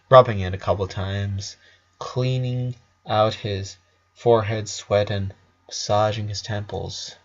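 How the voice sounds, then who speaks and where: A man's voice: fairly low pitch, fairly bright tone. A man reading a fan fiction story aloud as a dramatic narrator. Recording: dull lo-fi recording.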